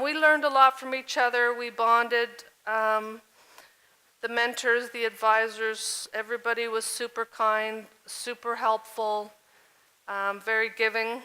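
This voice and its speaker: Woman panelist, bright, smooth voice, slow and even, earnest. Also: a little high, poor audio. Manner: earnest, with fillers. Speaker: panel speaker. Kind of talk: conference panel presentation.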